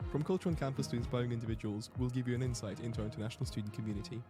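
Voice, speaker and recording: A man's voice: mid-range voice, steady tone, rapid-fire, loud music behind the voice. A young man hosting a student podcast, reading scripted links and asking questions with some hesitant restarts. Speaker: podcast host.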